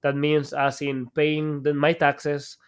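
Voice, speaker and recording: A man's voice: on the high side, monotone, takes it slowly, smooth. Hesitant man from El Salvador, filler-heavy. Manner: hesitant, many fillers and restarts. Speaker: guest entrepreneur. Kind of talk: casual interview talk.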